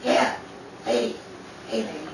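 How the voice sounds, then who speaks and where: A woman playing a furtive 1930s street character, halting and repetitive, in old-time radio comedy style. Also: fairly high pitch, expressive, vocal fry. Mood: furtive, warning. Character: furtive, slow-talking 1930s street character giving warnings. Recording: distant, echoey, very noisy radio-play recording.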